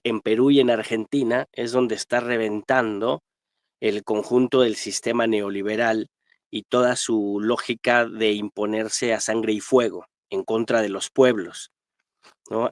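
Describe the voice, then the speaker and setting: A man's voice: medium pitch, dry recording. Mexican man, dry close sound, emphatic analyst. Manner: emphatic, militant, frequent tag questions. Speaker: guest historian and political analyst. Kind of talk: spontaneous political commentary.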